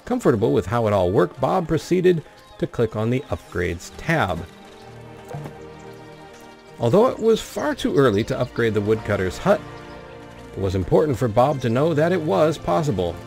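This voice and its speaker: A man's voice: medium pitch, sing-song, moderate pace, vocal fry, a little mellow, soft background music. A man hosting a gaming video, commenting on play and reading the game's story text aloud. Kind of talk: let's play commentary mixed with reading in-game narration aloud.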